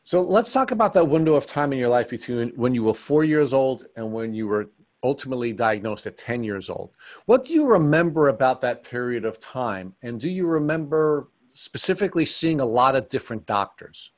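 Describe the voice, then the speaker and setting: Guy interviewer, calm long questions, normal pitch. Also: brisk.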